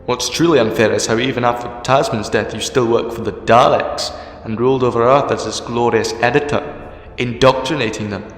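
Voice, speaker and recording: A man's voice: medium pitch, a little fast, close to an everyday voice. A man playing a sardonic, argumentative time-travelling hero in a sci-fi fan audio drama, defiant in temper. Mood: reproachful. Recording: echoey reverberant hall, music underneath.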